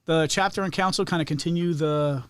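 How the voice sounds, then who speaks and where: A man's voice: on the high side, brisk, fairly bright tone, clear, resonant tone, dry recording. A man talking casually as a guest on a podcast, recorded in a studio. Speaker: podcast guest, Freemason lodge member. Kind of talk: casual chat.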